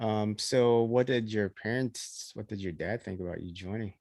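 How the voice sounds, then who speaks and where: A man's voice: lowish voice, normal speed, dry recording, clean studio sound. A man hosting a podcast interview, asking questions casually with lots of fillers. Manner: relaxed, friendly filler-heavy questions. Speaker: podcast host.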